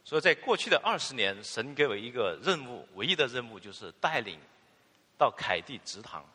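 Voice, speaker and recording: Male sermon interpreter with a bright, animated voice, filler particles between phrases, echoing in a long-reverb church hall. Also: medium pitch, fairly quick, husky. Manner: fluent, with filler particles and restarts.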